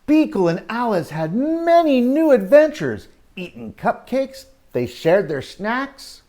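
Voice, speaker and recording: A man reads and talks to young children as a story-time teacher. His pitch moves up and down expressively, and his delivery is unhurried, with dramatic pauses. He keeps putting simple questions to his listeners. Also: very animated, dark tone. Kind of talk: animated storybook reading and children's Bible talk.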